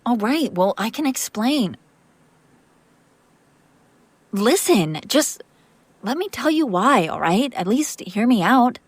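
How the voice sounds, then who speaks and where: A woman's voice: fairly high pitch, sing-song, unhurried, breathy edge, no room sound, exaggerated voice. A woman playing a female vampire master, an exasperated but protective caretaker, in a conversational, sardonic audio roleplay. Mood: pleading, reassuring. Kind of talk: intimate audio roleplay acting, one side of a conversation.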